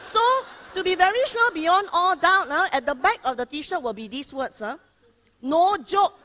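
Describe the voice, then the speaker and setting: A woman's voice: very high-pitched, expressive, normal speed, bright, forceful tone, a little hiss or hum, heavily acted. A woman playing a stiff, literal-minded government spokesperson with a Singaporean accent, over-explaining and drawing out her sentence-final particles. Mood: officious. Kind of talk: satirical comedy sketch.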